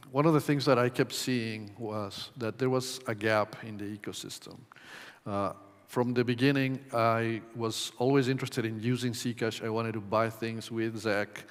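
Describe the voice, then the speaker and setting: A man from Ecuador giving a conference talk in a measured way, with many ums and uhs. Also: medium pitch, steady tone. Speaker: conference presenter. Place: conference hall. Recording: long hall reverb.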